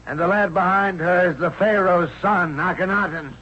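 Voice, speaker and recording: An actor playing a stern, imperious prime minister in a radio drama, formal and commanding. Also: male voice, sits high, normal speed, slight background noise, close to an everyday voice. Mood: stern, menacing. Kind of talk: old-time radio drama acting. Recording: muffled radio recording.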